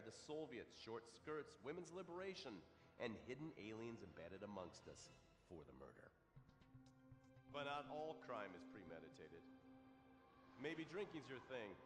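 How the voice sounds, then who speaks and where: An actor playing a pompous, sardonic male true-crime TV host, narrating a scripted, sensationalist documentary in a game. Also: medium pitch. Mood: dramatic, sardonic. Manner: melodramatic, sardonic. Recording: echoey reverberant hall, music underneath.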